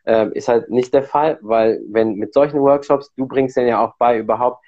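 A man hosting a podcast, explaining things in a calm, casual way. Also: mid-range voice, moderate pace. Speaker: podcast host. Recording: dull lo-fi audio.

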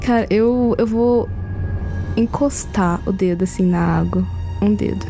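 A woman's voice: medium pitch, a little slow, dark tone, faint music behind. A woman with a Brazilian Portuguese accent, playing a tabletop game, talking in a hesitant, giggly way. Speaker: player.

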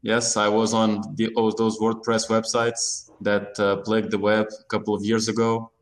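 A man with a fairly low voice, speaking as a guest in a casual interview. His phrasing is informal and stop-and-start, with words often repeated. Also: monotone, moderate pace. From Portugal.

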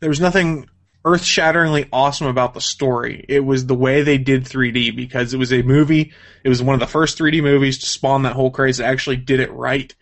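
Man, mid-range pitch, casual co-host chat, unscripted, geeky, conversational, explanatory. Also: fairly even intonation, quick pace. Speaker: co-host of a gaming and comics news show.

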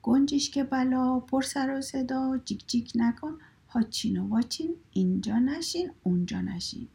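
A woman voicing fed-up townsfolk who chant a rhythmic scolding rhyme at a bird, in a breathy, husky voice. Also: high-pitched, mellow, voice pushed up.

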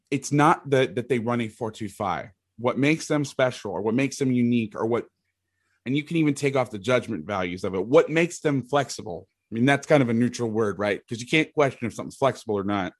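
Loud, rambling football coach. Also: male voice, medium pitch, fairly quick, no echo, clean studio sound. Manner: rambling, restarts sentences, occasional mild swearing. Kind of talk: casual podcast chat, explaining defensive schemes.

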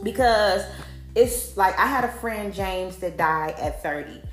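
A woman's voice: medium pitch, animated, unhurried, roomy sound, soft background music. A woman in her forties hosting a podcast, talking candidly in a blunt, profane, conversational way with lots of "like". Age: 40s. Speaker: podcast host. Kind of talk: candid personal monologue.

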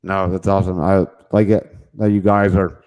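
A man's voice: deep voice, level tone, normal speed, darkish tone, poor audio. A man hosting a real estate podcast, talking in an upbeat, rambling way with lots of fillers.

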